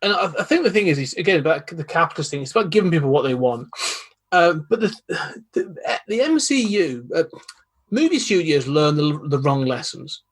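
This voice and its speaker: Podcast guest, male, raspy and a touch high, measured and reflective. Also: sing-song. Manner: thoughtful, measured, hedging with "you know". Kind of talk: casual chat.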